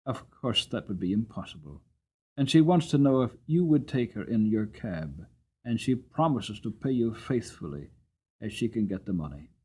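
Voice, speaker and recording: A man puts on a cabman's wife from a storybook. She is caring and earnest, coaxing gently as she makes her case. The pitch moves in lively turns. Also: moderate pace, husky. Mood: concerned. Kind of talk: dramatic storybook character reading.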